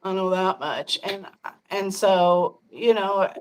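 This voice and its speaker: A woman's voice: mid-range voice, slow pace, rough recording. A woman in her fifties hosting a casual livestream chat, talking frankly and chattily with frequent laughter and some swearing. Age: 50s. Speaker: podcast host.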